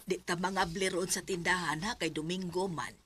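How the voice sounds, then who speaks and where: A firm, low-voiced aunt. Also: female voice, fairly even intonation, normal speed, a bit bright, husky. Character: middle-aged aunt, caring but firm.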